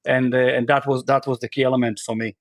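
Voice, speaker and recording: A man's voice: mid-range voice, level tone, fast, no room sound. A man from Israel, a guest on a podcast, answering questions in a conversational way, with many fillers and restarts. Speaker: podcast guest, real estate investor.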